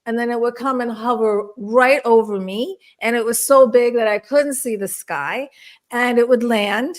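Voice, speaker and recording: A woman with a fairly high voice speaks as a guest in an interview. She explains and tells personal stories in a conversational way, now and then clearing her throat. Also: normal speed. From born in Istanbul, Turkey; grew up in the Washington DC area. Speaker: guest, spiritual counselor and experiencer.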